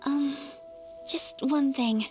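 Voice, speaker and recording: A polite young noble lady, high sweet-mannered voice, bit shy, game dialogue with music. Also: fairly even intonation, extremely slow, a little mellow. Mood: shy, earnest. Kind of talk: video game voice acting. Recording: music behind the voice.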